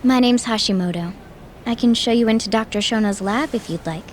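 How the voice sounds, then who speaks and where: A woman's voice: on the high side, a little fast, a little hiss or hum, close to an everyday voice. A woman acting in a game dub as a polite, matter-of-fact junior researcher who explains things helpfully. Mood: polite, obliging.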